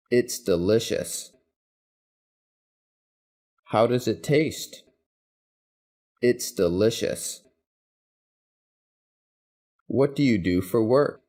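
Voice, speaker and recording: A man reading English practice questions and answers, each said twice in an even, deliberate way. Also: mid-range voice. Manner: even, deliberate, carefully spaced delivery. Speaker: English teacher. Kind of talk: slow scripted phrase drills, each said twice.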